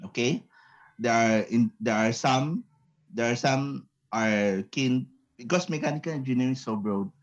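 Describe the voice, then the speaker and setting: Filipino-accented man, engineer lecturing, halting and repetitive, mid pitch, dull online audio. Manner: halting, repeats words, mixes English and Tagalog. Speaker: guest speaker, engineer. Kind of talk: webinar lecture. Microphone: computer mic on video call.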